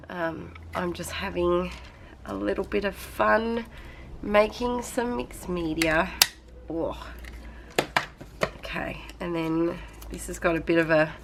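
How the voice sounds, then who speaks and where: A woman's voice: fairly low pitch, fairly bright tone, a little hiss or hum. A young woman with a slight cold, chatting casually and rambling through a craft tutorial at her desk, with lots of fillers. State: coming down with a cold. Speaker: craft channel host.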